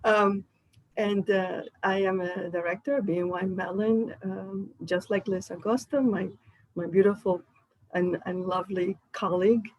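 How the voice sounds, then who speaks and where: A woman from Puerto Rico introducing herself on a video call, halting with many fillers. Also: mid-range voice, fairly even intonation, muffled recording. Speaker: company director. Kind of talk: casual self-introduction.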